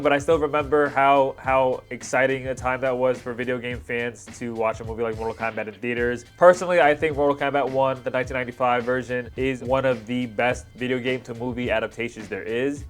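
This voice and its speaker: Male reaction host, thirties, medium pitch, enthusiastic and slangy fan commentary, light music underneath.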